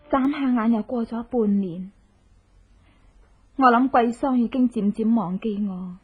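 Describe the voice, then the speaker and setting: A muffled, lo-fi radio drama recording carries a vengeful widow with a high voice and a Hong Kong Cantonese accent. She sounds slightly husky, intense and melodramatic, desperate yet resolute. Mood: brooding, frustrated. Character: vengeful widow in hiding, determined, desperate, posing as beggar.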